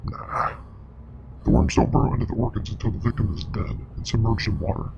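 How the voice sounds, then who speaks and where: A man playing a grave, knowing investigator and parasite expert in a scripted web horror drama, explaining in a measured, clinical way with a breathy, gravelly voice. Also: lowish voice, expressive, highs cut off, noisy background. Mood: solemn, matter-of-fact. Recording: music playing behind.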